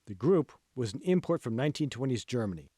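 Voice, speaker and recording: A man narrates a novel in a scripted audiobook style. His voice sits at a medium pitch, and the recording is dry, with no room sound. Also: big pitch swings, quick pace, mellow, breathy edge, no room sound. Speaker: author reading own audiobook.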